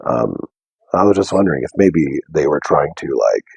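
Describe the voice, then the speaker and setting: Deep, soft-spoken man chatting live. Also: sing-song, normal speed, gravelly, husky, no echo. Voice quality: deep bass voice. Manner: soft, unprojected speech. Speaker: livestream host. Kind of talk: casual live chat with viewers. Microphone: wearing a mic.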